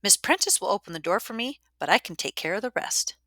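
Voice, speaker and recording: A woman voicing a pompous, scheming schoolgirl in a shrill, emphatic storybook voice. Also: expressive, a little fast, pushed and bright, no room sound, studio quality. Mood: defiant, confident.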